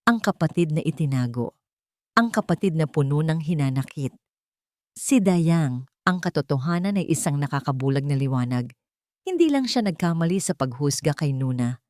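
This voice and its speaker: A woman's voice: low voice, animated, moderate pace, mellow, no echo, studio quality. A woman reading a scripted love story as a dramatic storyteller.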